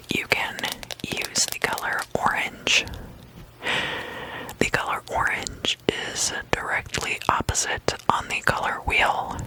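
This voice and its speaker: Very slow ASMR whisper. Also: poor audio. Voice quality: unvoiced, breathy whisper. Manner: repeats words and phrases soothingly. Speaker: host of guided meditation videos. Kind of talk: ASMR guided meditation.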